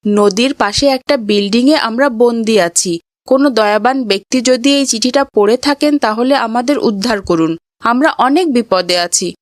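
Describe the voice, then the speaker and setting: A woman's voice: a little high, no echo, clean studio sound. A woman playing an earnest, principled young boy in a cartoon. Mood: solemn reading. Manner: earnest, righteous. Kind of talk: cartoon voice acting.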